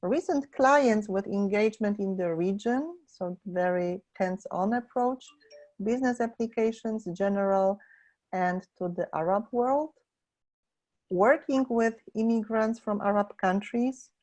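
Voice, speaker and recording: A woman's voice: medium pitch, measured pace, darkish tone. A woman hosting a webinar, speaking to the online participants as moderator. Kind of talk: introducing the speaker and reading out chat comments. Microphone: webinar call. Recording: dull lo-fi online call audio.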